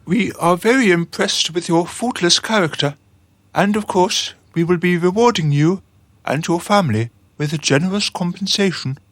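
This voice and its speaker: A man's voice: high voice, moderate pace, a bit bright, no echo. A man playing a naive, eager junior assistant in a radio drama, speaking obediently. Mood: effusive. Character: naive, over-imaginative, eager junior assistant called Boy.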